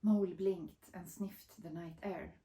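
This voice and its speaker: Woman, soft dark tone, storybook narrator, expressive, roomy echo. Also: on the low side, slow. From Sweden. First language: Swedish. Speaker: author reading her picture book.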